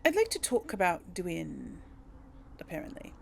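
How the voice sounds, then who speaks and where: A woman's voice: fairly low pitch, wildly swooping pitch, unhurried, vocal fry, a little mellow, a little hiss or hum. A woman giving casual role-play video game commentary, thinking aloud in a hesitant, conversational way. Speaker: gaming video host and player.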